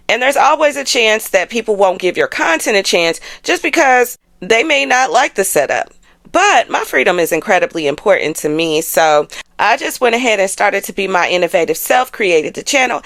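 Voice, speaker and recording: A woman's voice: medium pitch, vocal fry, fairly bright tone, no room sound. An American woman hosting a channel, chatting casually and directly to her followers with a sassy, rhetorical manner. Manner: sassy, direct address with rhetorical questions and tag phrases like "okay?". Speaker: astrology channel host. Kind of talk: casual, conversational commentary to followers.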